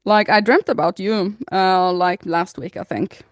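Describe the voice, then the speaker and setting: A young adult woman, co-hosting a casual podcast, telling stories in an animated, giggly way. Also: on the low side, unhurried, dry recording, studio quality. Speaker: podcast co-host. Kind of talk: casual chat, storytelling.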